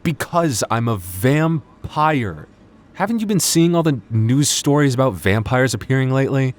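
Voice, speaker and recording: A man's voice: medium pitch, expressive, normal speed, natural voice. A man acting a flustered young vampire store clerk in an audio roleplay, stammering nervously and awkwardly. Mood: exasperated, defensive. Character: flustered young male vampire working convenience store night shift. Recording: faint background noise.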